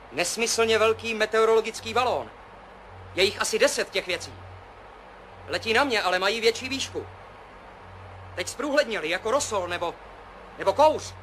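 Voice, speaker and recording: Awed pilot, bright voice over noise. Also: male voice, high voice. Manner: musing, halting descriptions in short phrases. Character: male test pilot, awed, rambling, seeing strange visions. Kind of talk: 1970s Czech radio play acting. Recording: noisy 1970s radio drama, engine drone.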